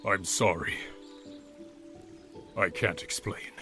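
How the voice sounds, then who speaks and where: Actor as halting, remorseful older man, forceful edge. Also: deep voice, steady tone, faint music behind, full character voice. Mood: tearful remorse. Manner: halting, faltering, emotional. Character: tall, burly older village man, remorseful devoted father. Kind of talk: audio drama acting.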